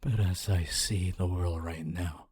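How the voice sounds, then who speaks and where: Guy doing a sad tender male ghost for asmr roleplay, low and super breathy, hushed, wistful and halting. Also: fairly even intonation. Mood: somber, regretful. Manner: slow, halting, wistful, intimate. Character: honorable lonely male ghost, former teacher, tender and regretful.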